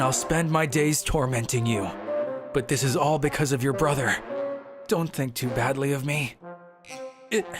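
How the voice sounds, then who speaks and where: A petty teenage schoolboy, played by a woman in a manga dub, plotting small revenges and then stammering flimsy excuses. The voice sits low for a woman. Music plays clearly underneath. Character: scheming cowardly teenage schoolboy. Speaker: voice actor. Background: background music.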